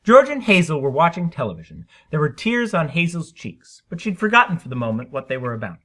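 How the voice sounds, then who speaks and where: Male story narrator, dramatic read, bright tone, very varied intonation. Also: fairly high pitch, huge pitch swings, fairly quick. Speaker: story reader.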